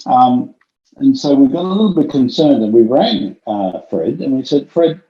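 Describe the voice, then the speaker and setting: A man's voice: medium pitch, animated, normal speed. Scientist guy telling stories, lots of ums, echoey dull sound. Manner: storytelling with many ums and restarts. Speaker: vision scientist. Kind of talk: conversational interview.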